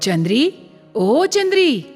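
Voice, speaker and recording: A woman's voice: high voice, very animated, slow pace, heavily acted. Reverberant, tender-hearted motherly widow acted by a woman. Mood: affectionate. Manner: emotive, exclamatory, gentle with her child. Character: kind, tender-hearted widowed vegetable seller, adoptive mother. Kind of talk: dramatised moral story cartoon voice acting. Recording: long reverb, music underneath.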